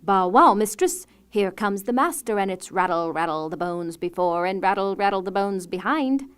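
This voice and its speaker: An old, loyal farm dog barks out its warning in a loud sing-song chant, as a woman storyteller puts it on. The storybook voice stays close to her own pitch but is much louder. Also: expressive, moderate pace, clear, resonant tone, close to an everyday voice.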